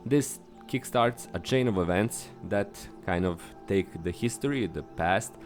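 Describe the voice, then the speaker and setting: A man's voice: measured pace, faint music behind. Serbian-accented man hosting a solo podcast. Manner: upbeat, earnest, stresses words with sudden high lifts. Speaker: podcast host.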